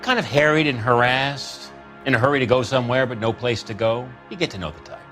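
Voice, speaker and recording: A man's voice: mid-range voice, brisk, a bit bright, faint music behind, natural voice. An actor playing a slick, folksy used-car salesman in a video game, full of jokey patter and calling people son. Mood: breezy, wry. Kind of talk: video game character acting.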